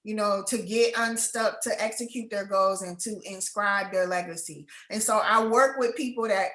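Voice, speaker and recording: Woman, host, heartfelt and halting, casual talk, echoey room. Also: medium pitch, a bit bright. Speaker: host and writing coach. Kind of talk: casual conversation.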